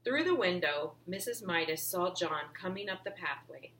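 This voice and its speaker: A lower-voiced woman narrates a children's storybook aloud as a teacher would to a class. Her voice sits a little low, with faint noise behind it. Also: animated, moderate pace, fairly bright tone.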